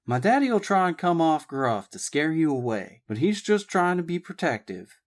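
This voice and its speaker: A woman's voice: deep voice, very animated, moderate pace, pitched above the performer's own voice. A man voicing a spirited young woman. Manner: emphatic, exclaiming. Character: spirited young Southern woman, outspoken and teasing.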